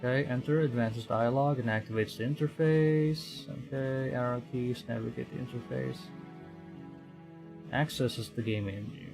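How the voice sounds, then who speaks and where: A man's voice: mid-range voice, normal speed, vocal fry. A man from the Philippines hosting a livestream in casual chat, speaking hesitantly and often repeating and rephrasing his phrases. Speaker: VTuber livestream host. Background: music.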